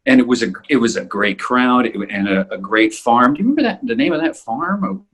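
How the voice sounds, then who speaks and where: A man hosting a podcast, giving a casual introduction in a halting way, often repeating himself as he searches for words. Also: medium pitch, animated, a bit bright, breathy edge. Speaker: podcast host.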